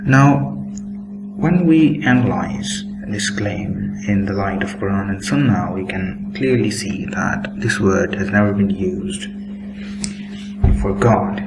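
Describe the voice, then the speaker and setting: A man giving a critical commentary on book excerpts while showing screenshots, pacing himself deliberately and opening most sentences with "now". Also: on the low side, over loud music, rough recording. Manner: critical, disapproving tone. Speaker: religious commentator.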